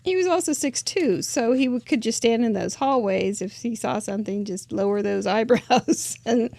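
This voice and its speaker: Host, woman, middle-aged, squeaky voice with fry, chatty and laughing. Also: moderate pace, darkish tone, dry recording. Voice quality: squeaky high voice. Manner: chatty, laughs often, trailing restarts. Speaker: host of a live crafting show. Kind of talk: casual interview chat.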